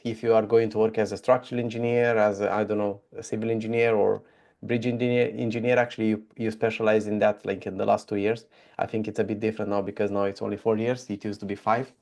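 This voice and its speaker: A man from Romania with a Romanian accent, hosting a casual interview in a friendly, informal, halting way. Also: mid-range voice, darkish tone. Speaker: podcast host.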